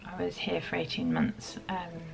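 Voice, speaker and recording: A woman in her thirties from the UK, chatting reflectively to camera in a home vlog, pausing often with ums. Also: fairly low pitch, big pitch swings, vocal fry, over music, low-quality recording. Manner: reflective, soft-spoken, many pauses and ums. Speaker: vlogger. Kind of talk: casual chat to camera.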